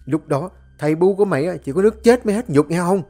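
A man's voice: sits high, expressive, faint music behind, voice pushed up. A man voicing a violent young husband who rattles off harsh insults in an audio story. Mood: raging. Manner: fast, harsh shouting. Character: brutal young husband, drowsy then raging.

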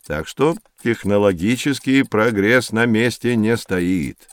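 A man's voice: fairly low pitch, slow. A man playing a friendly professor who explains things expressively to children in an educational audio drama. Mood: reassuring. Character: friendly male professor guiding curious children on adventures.

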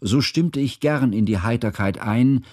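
An actor playing a reflective, erudite male narrator in a literary radio drama, speaking in measured, formal and ornate phrases. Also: mid-range voice, darkish tone, dry recording, studio quality, lightly acted. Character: reflective, erudite man recalling his friends in wartime. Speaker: first-person narrator.

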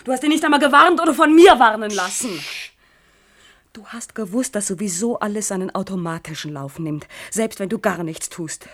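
An actress in a German radio play playing a worried young adult daughter who is pressing and reproachful. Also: female voice, mid-range voice, normal speed, a bit bright, close to an everyday voice. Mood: bitterly accusing. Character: worried, accusing grown daughter, insistent and bitter.

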